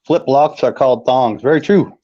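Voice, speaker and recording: A man's voice: medium pitch, normal speed, mellow, dull audio. A man joking and laughing on a live stream chat, greeting viewers by name. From northern United States. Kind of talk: casual chat.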